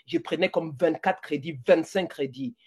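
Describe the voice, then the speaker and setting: A woman's voice: sits low, moderate pace, a little mellow, slightly rough. A woman in her forties from Cameroon, speaking as a podcast guest, slipping English words into her French with many restarts. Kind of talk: conversational interview.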